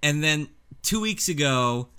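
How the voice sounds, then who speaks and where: A man in his forties co-hosting a casual radio chat, telling stories in an animated way with frequent laughs. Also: on the high side, a little slow, smooth. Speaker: co-host of a paranormal show.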